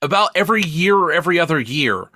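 Animated, higher-voiced man chatting. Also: fast, dry recording. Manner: animated, emphatic, sweary. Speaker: podcast co-host. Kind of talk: casual chat reviewing media.

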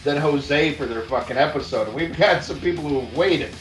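Upbeat swearing podcast host, echoey. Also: male voice, on the high side, normal speed, fairly bright tone, soft background music. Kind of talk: casual podcast chat.

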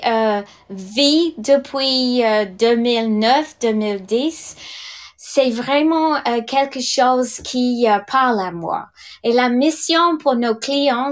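Slow, hesitant woman in her sixties. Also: sits high. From England. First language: English. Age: 60s. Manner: hesitant, many fillers and restarts. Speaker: direct sales leader. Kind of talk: podcast testimony.

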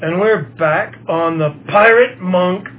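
A man co-hosting a podcast, rambling casually with many fillers and halting restarts. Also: high-pitched. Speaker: podcast co-host. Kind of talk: casual chat. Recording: lo-fi phone-like sound with faint hiss.

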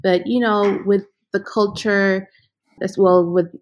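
A woman who grew up in Ethiopia, talking softly and reflectively as an interview guest about becoming a cook. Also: mid-range voice, steady tone, slow, rough recording. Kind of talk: interview guest, casual talk.

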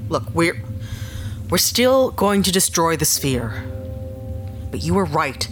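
A woman's voice: low voice, sing-song, slow pace, soft background music, full character voice. An actress playing a resolute, guarded woman who leads a sci-fi crew, terse and practical in an audio drama. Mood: remorseful.

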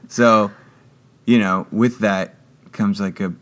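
Deep voiced guy rambling thru his thoughts in an interview, lots of likes and restarts. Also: fairly even intonation, a bit bright. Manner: halting, many restarts and fillers. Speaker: guest. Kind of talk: casual conversation.

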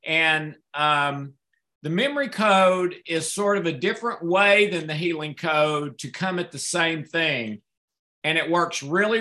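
A man's voice: on the high side, pushed and bright. A man hosting a casual live chat, earnest, often repeating words as he starts a sentence. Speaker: author and host.

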